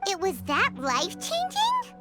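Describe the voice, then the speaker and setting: A woman's voice: very high voice, wildly swooping pitch, a little slow, over loud music, exaggerated voice. A woman voicing a tiny, childlike fairy companion in a video game, blunt and cheeky, speaking of herself in the third person. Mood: skeptical, grumbling. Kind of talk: video game character acting.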